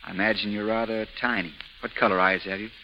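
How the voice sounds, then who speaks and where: Actor as a bantering patient on crackly old radio. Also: male voice, on the low side, fairly even intonation, fast, lightly acted. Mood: tender, teasing. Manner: bantering, wry. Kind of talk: 1930s radio drama acting. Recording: muffled, noisy 1930s radio recording, crackly hiss.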